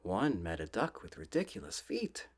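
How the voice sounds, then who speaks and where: A soft-spoken man reading children's books aloud in a gentle, playful ASMR style, often dropping close to a whisper. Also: lowish voice, huge pitch swings, vocal fry, husky. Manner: gentle, drawn-out, playful storybook delivery.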